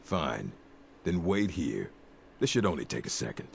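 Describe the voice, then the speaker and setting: Gruff, arrogant orc warrior chief, fantasy game, extremely low gravelly voice. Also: male voice, big pitch swings, a little slow, a little hiss or hum, full character voice. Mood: grudging, boastful. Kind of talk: fantasy video game acting.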